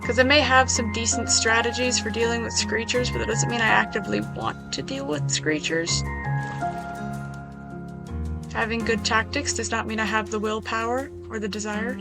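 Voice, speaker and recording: Brief: woman gamer, a touch hoarse and gravelly, fairly high voice, casual running commentary, music bed underneath. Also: steady tone, brisk, hardly any pauses. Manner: chatty, wry asides to herself. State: slightly hoarse. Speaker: gaming video creator.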